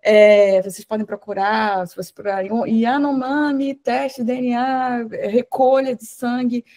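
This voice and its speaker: A woman adds an earnest explanation in a casual classroom tone. Her voice sits a little high but sounds mellow and warm. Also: steady tone, unhurried. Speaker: guest speaker.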